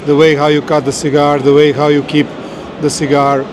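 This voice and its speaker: Flat-toned Serbian man, noisy outdoors. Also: a little high. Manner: steady, measured, a little halting. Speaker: guest. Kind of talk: casual interview.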